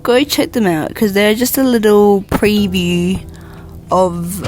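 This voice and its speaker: A woman's voice: mid-range voice, takes it slowly, a little mellow, faint music behind. A woman hosting a podcast solo, chatting in a casual, rambling, informal way with a self-deprecating, joking streak. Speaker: podcast host.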